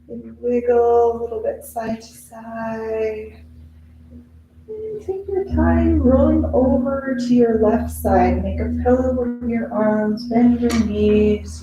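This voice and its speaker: A woman yoga instructor leading a guided relaxation meditation in a soothing, unhurried, instructional way with long pauses. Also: on the high side, warm tone. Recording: very echoey room with noise.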